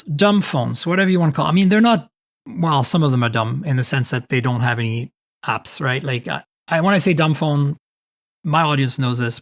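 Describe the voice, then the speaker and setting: Podcast host, man from Canada, animated and teasing, mid pitch, casual tech chat. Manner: animated, teasing, asks open questions.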